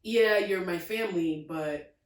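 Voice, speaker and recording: A woman's voice: fairly low pitch, a little slow, recorded from a distance. A young woman hosting a podcast interview, talking casually and laughing as she acts out her relatives' voices. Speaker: podcast host. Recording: roomy, from off mic.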